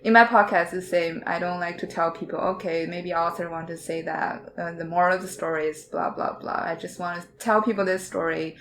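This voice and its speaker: A woman's voice: lowish voice, steady tone, fairly quick, hardly any pauses, a bit bright, slight background noise. A woman podcaster in a casual panel discussion, giving her opinions bluntly and informally.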